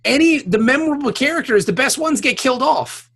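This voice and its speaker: Animated, husky, blunt podcast host. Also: high voice, brisk. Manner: blunt, sweary. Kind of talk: casual panel chat.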